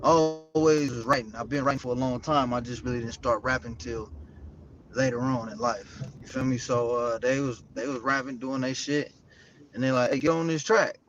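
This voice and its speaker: A man's voice: medium pitch, fairly even intonation, normal speed, fairly bright tone. A man from South Central Los Angeles, a rapper talking as a guest in a casual interview, laid-back and rambling with lots of slang. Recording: dull lo-fi audio with faint noise.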